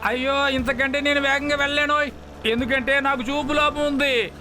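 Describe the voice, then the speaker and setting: A fussy, obstinate cartoon rickshaw driver. His voice is squeakily high and full of whiny protest. Music plays clearly underneath. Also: level tone, moderate pace, sharp tone, full character voice. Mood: stubborn, fussy. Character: fussy, stubborn short-sighted male auto-rickshaw driver. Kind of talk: children's cartoon voice acting.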